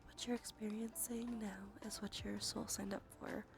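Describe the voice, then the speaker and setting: Soft whispering woman with creaky voice. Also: mid-range voice, flat delivery, moderate pace, music in the background. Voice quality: soft, breathy, often whispered. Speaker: livestream host. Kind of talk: ASMR tarot reading stream.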